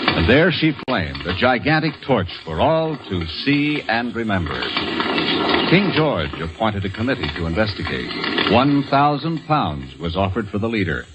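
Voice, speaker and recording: A man's voice: on the low side, heavy background noise. A man giving dramatic, declamatory scripted narration in the style of old-time radio. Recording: vintage radio recording with loud music.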